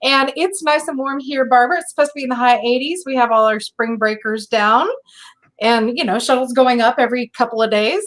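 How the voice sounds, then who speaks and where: A woman's voice: a little high, brisk. An American woman chatting easily as a guest on an online panel. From United States. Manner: relaxed, chatty. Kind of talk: casual chat.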